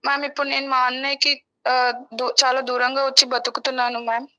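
A woman's voice: high voice, level tone, quick pace, sharp tone, muffled recording. A young woman giving a personal account as a caller in a counselling interview. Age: young adult.

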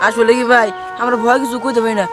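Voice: mid-range voice, flat delivery, fast, natural voice. An actor playing a timid, wronged beggar who speaks hesitantly and deferentially, in an amateur short film. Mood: fearful. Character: timid, wronged male beggar pleading for justice. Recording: music behind the dialogue.

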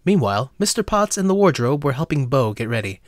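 A male narrator reads a scripted story for an audio drama. His voice sits at a middle pitch and is recorded close and dry. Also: very animated, brisk, dry recording.

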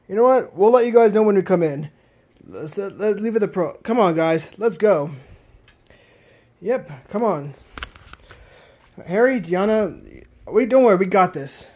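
Young man, high voice, halting toy-show talk. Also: expressive, a little mellow. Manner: rambling, halting, many restarts. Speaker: puppeteer voicing all the plush characters. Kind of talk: improvised plush-toy cartoon.

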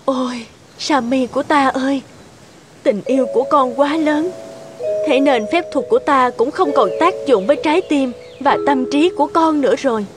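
A compassionate sea goddess from a cartoon fairy tale, acted by a woman. She speaks high and solemnly with regal, motherly sorrow, over faint music. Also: normal speed, natural voice. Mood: sorrowful.

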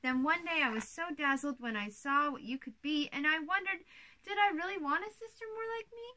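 An exuberant little-sister princess, acted out by a young woman reads a children's storybook. The voice sits high and rings bright. The delivery is playful, bubbly and theatrical. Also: brisk, rough recording. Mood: fond. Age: young adult. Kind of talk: storybook read-aloud for children.

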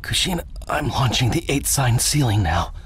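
An actor playing a selfless, gravely wounded young ninja father in a video game, earnest, halting and breathless. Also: mid-range voice, very animated, fairly bright tone, heavily acted. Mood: pained resolve. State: strained, breathless.

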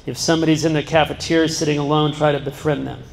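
A middle-aged man with a fairly high voice speaks earnestly into a handheld microphone, now and then breaking off to start a line again. His words ring out through a big gym with a long, lingering reverb. Rows of seated students fill the room as he talks to them. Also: fairly even intonation, fast talker, vocal fry. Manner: earnest, breaks off and restarts, jokes with the audience. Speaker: guest speaker. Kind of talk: public talk to students. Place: large school gym. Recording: long reverb in a large hall. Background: seated student audience.

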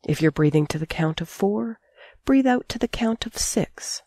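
A middle-aged woman guiding a sleep meditation as a teacher, calm and soothing, speaking in measured phrases with long pauses. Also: mid-range voice, no room sound. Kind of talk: guided sleep meditation narration.

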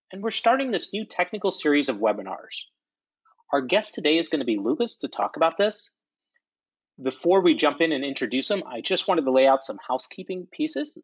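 A man's voice: a little high, husky, dry recording, muffled recording. A man hosting a technical webinar, friendly and lightly joking as he introduces his guest. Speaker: webinar host, data scientist.

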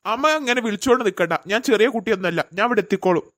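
A woman's voice: mid-range voice, rapid-fire, no room sound, lightly acted. Woman doing a grumpy grown son, curt and annoyed, dry close sound. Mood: irritated. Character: irritable young man, grown son.

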